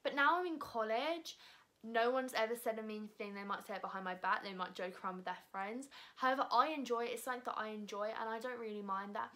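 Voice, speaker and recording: A teenage girl with a British accent, chatting casually to camera in a vlog, with lots of fillers and animated gestures, sitting on a sofa in her living room. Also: a little high, fast. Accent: British English. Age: teens. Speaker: YouTuber. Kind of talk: casual vlog answering viewer assumptions. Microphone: camera in front at close range.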